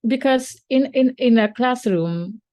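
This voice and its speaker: A woman's voice: fairly high pitch, measured pace, mellow, no room sound, studio quality. A woman teacher and podcast co-host who is not a native English speaker, chatting casually with many fillers and restarts.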